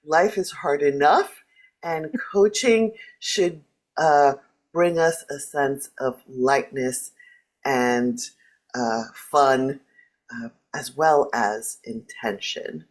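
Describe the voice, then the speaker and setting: A woman whose first language is Spanish, chatting casually and playfully as a leadership coach. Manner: lively, playful, quick jumps in pitch. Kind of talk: casual chat.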